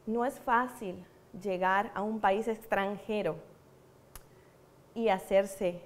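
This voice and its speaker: A woman with a Cuban accent giving a church teaching, telling personal testimonies earnestly and repeating words for emphasis. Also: medium pitch. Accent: Cuban Spanish. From Cuba. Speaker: preacher.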